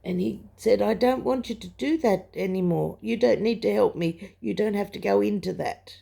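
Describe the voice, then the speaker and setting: An older woman with a croaky voice gives a personal spiritual testimony, telling it in a halting, reflective way. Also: medium pitch, warm tone. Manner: halting, reflective storytelling with pauses. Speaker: religious vlogger sharing a testimony. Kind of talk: personal spoken testimony, recounting a dream.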